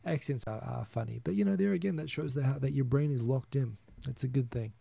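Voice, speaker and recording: A man's voice: medium pitch, brisk, creaky, dark tone, husky, no room sound. A man in his thirties with a New Zealand accent, hosting a casual solo live stream, rambling and chuckling as he reads viewer comments. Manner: rambling, chuckling, frequent restarts.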